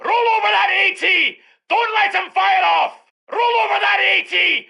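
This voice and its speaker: An actor playing an aggressive Second World War British tank commander in a video game, with a Scottish accent and emphatic military commands. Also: male voice, very high-pitched, measured pace, gravelly, pushed and bright, shouting, highs cut off, heavily acted. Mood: battle fury. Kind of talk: video game unit voice lines.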